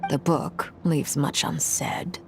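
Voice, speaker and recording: An actress playing a brooding young woman with a dark power, telling her history in a measured, grave, dramatic video game style. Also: low voice, fairly even intonation, vocal fry, faint music behind, exaggerated voice. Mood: measured, serious. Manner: measured, grave, expository storytelling. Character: brooding young woman, harbinger wielding a corrupting blood flame.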